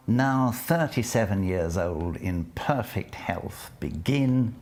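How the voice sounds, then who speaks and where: A man who introduces a poem and then reads it aloud, in a measured, deliberate way, letting phrase ends fall and slipping into soft whispers at times. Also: mid-range voice. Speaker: poetry reader and lecturer. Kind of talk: spoken introduction and poetry reading.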